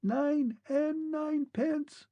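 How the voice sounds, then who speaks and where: A man doing a shrill, thin clockwork man. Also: slow pace, vocal fry, a little mellow, dry recording, lo-fi sound, exaggerated voice. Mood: eerie pleading. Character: fading mechanical clockwork man, shrill and faint.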